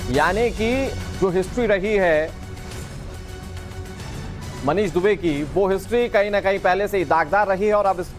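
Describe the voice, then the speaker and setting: A man's voice: sits high, measured pace, long pauses. A man presenting live television news as an anchor, with music behind him.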